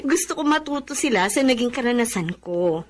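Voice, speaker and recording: An actress playing an earnest, emotional young jobseeker daughter in a Filipino radio drama, with a Filipino accent. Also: female voice, on the high side, expressive, brisk, close to an everyday voice. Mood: content, cheerful.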